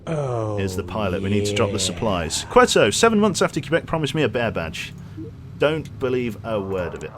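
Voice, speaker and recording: Lively man streaming a game. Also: fairly low pitch, a little fast, noisy background. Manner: excitable, swears casually, talks to crew. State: losing his voice. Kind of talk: gameplay commentary.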